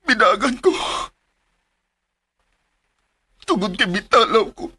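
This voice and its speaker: A man playing a guilt-ridden young man in a radio drama, confessing in halting, tearful phrases. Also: high-pitched, animated, pushed and bright, husky, full character voice. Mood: remorseful. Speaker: penitent.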